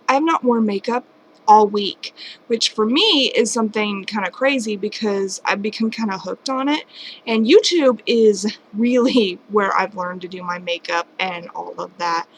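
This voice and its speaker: A woman's voice: a little high, a little hiss or hum, low-quality recording. A woman in her late twenties, talking casually and candidly to her camera at home, rambling and self-deprecating. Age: late 20s. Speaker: vlogger. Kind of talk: casual personal vlog. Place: home at night.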